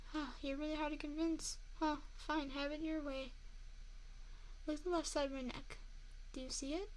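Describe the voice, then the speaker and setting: A woman's voice: high voice, poor audio. A woman voicing a seductive, menacing female vampire in an intimate ASMR roleplay, mocking and taunting a single listener up close. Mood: exasperated, coaxing. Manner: teasing, taunting, intimate address to one listener. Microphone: close binaural 3Dio microphone. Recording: faint background noise, close binaural mic.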